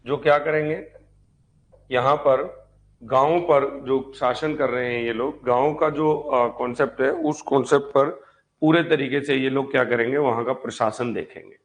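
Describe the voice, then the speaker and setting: A man's voice: mid-range voice, fairly even intonation, unhurried. A man teaching an online history class conversationally, repeating key points and posing rhetorical questions as he goes. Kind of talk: live online exam-prep lecture.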